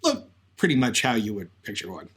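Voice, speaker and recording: A man's voice: on the low side, wildly swooping pitch, a little fast, fairly bright tone, slightly rough. A man co-hosting a podcast in a conversational, semi-scripted storytelling style. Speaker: podcast co-host.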